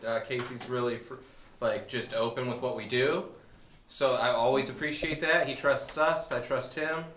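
A man speaks as a cast member in a casual, joking interview. His voice is bright and forceful, with an irreverent, halting delivery. The room is echoey with faint noise. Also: medium pitch, steady tone.

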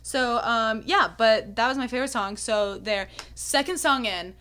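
Young woman, kinda hoarse, rambling story w lots of likes and ums. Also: a little high, normal speed, fairly bright tone. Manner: chatty storytelling, many fillers. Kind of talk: casual chat.

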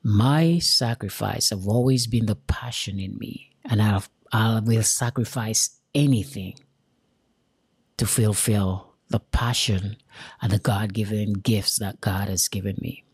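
Jamaican guy talking real slow and earnest, kinda husky, dry close sound. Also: lowish voice, no room sound. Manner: slow, deliberate and earnest, with emphatic repetition. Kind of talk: motivational direct-to-camera talk.

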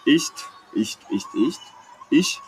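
A man's voice: mid-range voice, expressive, slow pace, faint music behind. A young man chats casually as a podcast co-host, telling personal anecdotes in a slangy, rambling, jokey way. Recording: clear background noise.

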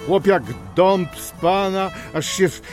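Casting: jovial, blunt small-town magistrate, higher-pitched and slow, folksy teasing, music underneath. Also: male voice, fairly even intonation. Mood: teasing, persuasive. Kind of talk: radio play acting.

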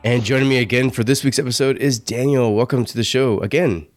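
A man's voice: medium pitch, fairly quick, no echo, studio quality, soft background music. A man hosting a podcast, upbeat and joking as he welcomes guests. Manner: upbeat, joking, laughs often. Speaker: podcast host. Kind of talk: casual podcast interview.

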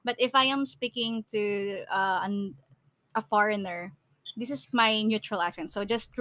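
A woman's voice: medium pitch, a little slow, fairly bright tone. A woman from the Philippines with a Filipino accent, co-hosting a podcast in casual chat, laughing often and restarting her sentences. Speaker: podcast co-host.

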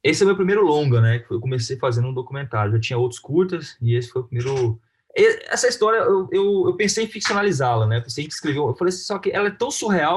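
A man with a Brazilian accent talking informally and haltingly in an interview about his own work, often adding little tag questions. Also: a little high. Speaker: film director being interviewed.

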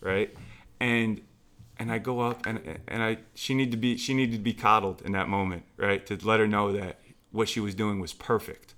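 A man's voice: on the low side, steady tone, normal speed, a bit bright. A man who trains young basketball players, talking casually on a podcast and telling stories with emphatic repetition. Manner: emphatic, storytelling, repeats phrases for stress.

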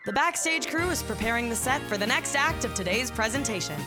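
A woman's voice: fairly high pitch, animated, brisk. A woman station announcer reading a scripted radio promo in a promotional style. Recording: voice over loud music bed.

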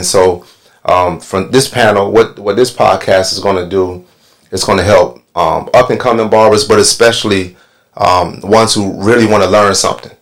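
A man's voice: lowish voice, gravelly. A man hosting a casual podcast panel, talking loosely with lots of ums and restarts. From Northern Virginia. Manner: relaxed, filler-heavy with many ums. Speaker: podcast host and barber.

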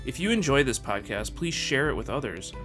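A man's voice: medium pitch, big pitch swings, brisk, a bit bright. A man reading a scripted podcast introduction in a friendly, promotional way. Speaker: podcast producer. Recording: voice over guitar music. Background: guitar music.

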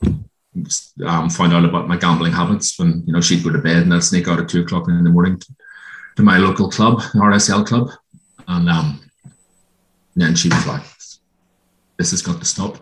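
An Irish man, a guest being interviewed, who tells his own story in a reflective way and pauses often with ums. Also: sits low, level tone. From Ireland. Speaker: guest, former gambling addict. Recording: roomy echo.

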